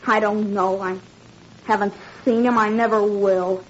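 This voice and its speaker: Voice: mid-range voice, flat delivery, measured pace, close to an everyday voice. An actor playing a stiff, defensive eleven-year-old boy, an indoctrinated and guarded German youth, in a 1940s radio drama. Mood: sullen, guarded. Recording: muffled, noisy old radio recording.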